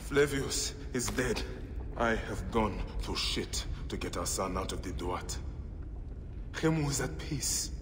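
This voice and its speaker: An actor playing a stern, grieving ancient Egyptian warrior in a game cutscene, grave and emphatic. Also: male voice, on the low side, expressive, takes it slowly, background noise. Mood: bitter. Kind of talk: dramatic game cutscene acting. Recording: loud music behind the voice.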